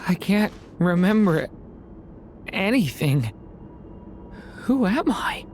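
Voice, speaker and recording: Faint, very slow young boy, played by a woman. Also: medium pitch, sing-song, darkish tone, slight background noise. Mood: lost, confused. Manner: halting, faint, pleading delivery. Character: weak, bewildered young boy lost in a void. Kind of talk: dramatic game voice acting.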